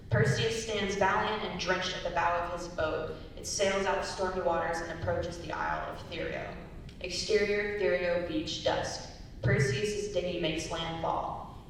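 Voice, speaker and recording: A young woman reading stage directions as scripted narration at a dramatic reading. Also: a bit bright. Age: young adult. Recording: very echoey hall, distant mic, hiss and rumble.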